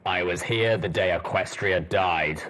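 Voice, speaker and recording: A man voicing a grim armored undead male soldier pony in an audiobook, speaking slowly and heavily. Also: deep voice, fairly bright tone. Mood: solemn. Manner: slow, heavy, solemn.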